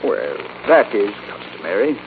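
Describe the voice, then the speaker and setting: A man's voice: lowish voice, big pitch swings, moderate pace. An actor playing a fussy, genial insurance man in a 1940s radio drama, courteous and a little hesitant. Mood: guarded, intrigued. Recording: muffled, noisy 1940s radio broadcast.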